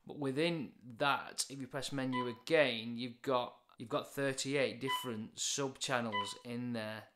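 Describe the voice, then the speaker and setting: A man in his forties with a British accent talks through a product demonstration. His voice is mid-pitched. He explains each point informally and matter-of-factly, like a hobby reviewer. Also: steady tone, unhurried, noisy background. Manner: plain, matter-of-fact explaining.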